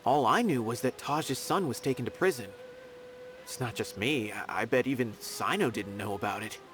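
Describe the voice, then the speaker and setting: A man's voice: medium pitch, lively intonation, moderate pace, a bit bright, faint music behind, close to an everyday voice. A man playing an earnest officer of the law in a video game, with conversational delivery. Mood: defensive. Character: earnest young male desert law officer. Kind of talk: video game character acting.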